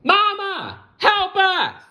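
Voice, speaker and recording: A man's voice: very high voice, wildly swooping pitch, extremely slow, bright, forceful tone, pitched above the performer's own voice, full character voice. A man voicing a frightened young female ladybug, urgent and pleading, in a children's storybook. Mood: panicked pleading.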